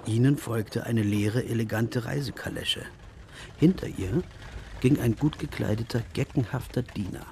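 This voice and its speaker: An actor playing a reflective, observant traveller who narrates a literary radio drama in a measured, composed way. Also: male voice, mid-range voice, gravelly, darkish tone, a little hiss or hum, lightly acted.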